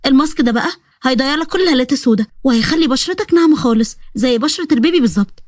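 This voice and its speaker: A woman's voice: high-pitched, expressive, racing, a little mellow, no echo, clean studio sound. A woman with an Egyptian accent voicing a pampered, girly young woman recruit who talks in a sassy, chatty way.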